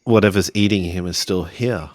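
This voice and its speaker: A man's voice: sits low, moderate pace, no room sound, natural voice. A man voicing a jumpy, scared, slangy boy in a tabletop role-playing game. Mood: scared, jittery.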